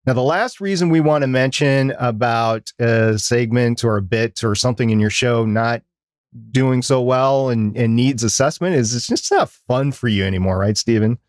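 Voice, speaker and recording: Male podcast co-host, medium pitch, informal explanatory talk, conversational delivery, very dry studio-like sound. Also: moderate pace, dry recording.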